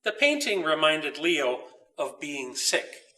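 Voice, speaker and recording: Male storybook reader, higher-pitched and crisp-edged bright tone, deliberate phrasing, recorded a little off the mic. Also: very animated, recorded from a distance, rough recording. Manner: measured, deliberate storytelling with pauses. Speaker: author reading own story. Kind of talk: children's storybook read aloud. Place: old dairy barn.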